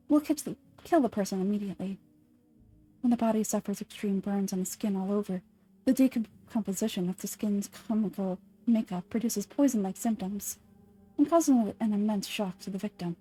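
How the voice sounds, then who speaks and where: A woman voicing a calm adult nurse in an anime fan dub, speaking in a soft, measured, lecturing way as she dwells on gruesome details. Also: mid-range voice, close to an everyday voice, poor audio. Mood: lecturing calmly. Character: calm adult female nurse relishing grisly history.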